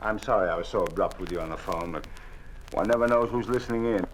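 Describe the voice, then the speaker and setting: A man's voice: fairly low pitch, fast, slight background noise, natural voice, poor audio. An actor playing a guarded, bitter father. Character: bitter, guarded father living under oppression. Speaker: father of a family. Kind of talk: 1950s radio drama acting.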